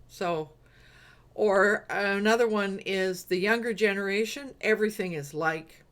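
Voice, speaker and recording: A woman from Canada with an East Coast accent, hosting a casual live-stream discussion, unhurried and laughing often. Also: mid-range voice. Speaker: host.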